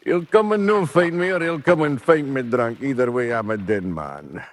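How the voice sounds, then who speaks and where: A man's voice: mid-range voice, lively intonation, moderate pace, natural voice. A man playing a hungover, grumbling but friendly Scottish roadmender in a radio drama, talking in folksy dialect. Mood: complaining.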